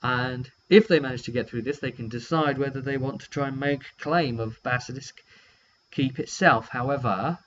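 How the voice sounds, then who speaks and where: A man's voice: medium pitch, normal speed, a bit bright. British man, dull lo-fi recording. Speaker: tabletop game master recapping sessions. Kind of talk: casual vlog storytelling. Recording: dull lo-fi sound with faint hum.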